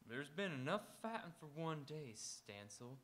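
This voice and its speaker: An actor playing a steady, watchful marshal in a live radio Western, folksy but with authority. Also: male voice, a little high, moderate pace. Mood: firm. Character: steady, watchful frontier marshal keeping the peace. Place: auditorium stage, live radio theatre performance.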